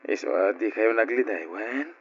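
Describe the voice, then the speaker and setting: A man playing a kindly adult uncle in an old radio drama, speaking gently and earnestly with an Ilocano accent. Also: lowish voice, normal speed, slightly rough. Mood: reassuring. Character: Uncle Gilbert, kindly adult son and guardian of orphans. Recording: dull lo-fi radio recording with music.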